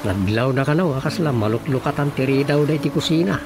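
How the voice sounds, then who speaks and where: A man's voice: medium pitch, fast talker, lightly acted. A man with an Ilocano accent playing an earnest village son in a radio drama. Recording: radio drama over clear music bed.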